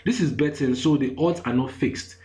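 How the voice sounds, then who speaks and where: A man with a Nigerian accent leads an online lesson in a voice of middling pitch. He repeats his points and keeps asking whether the listener follows. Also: moderate pace, darkish tone. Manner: repetitive, keeps asking "you get me?". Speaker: online course instructor. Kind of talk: screen-share lesson.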